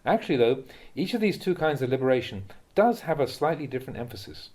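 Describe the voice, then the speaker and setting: A man with a mid-pitched voice reads spiritual teachings in the style of an audiobook. His delivery is measured and instructive, and each phrase is clearly set out. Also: lively intonation, a little mellow. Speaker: reader of translated Buddhist teachings.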